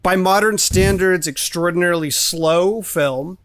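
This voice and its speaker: A man's voice: sits high, a little slow, fairly bright tone, no echo, studio quality. A man co-hosting a podcast, discussing films casually and informally, with some swearing. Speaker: podcast co-host.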